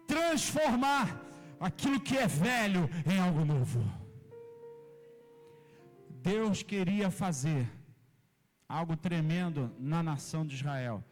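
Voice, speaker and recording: Animated pastor preaching. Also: male voice, sits high, long reverb tail. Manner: animated, imitates sounds and voices, rising to loud emphasis. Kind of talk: sermon with storytelling. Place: church hall.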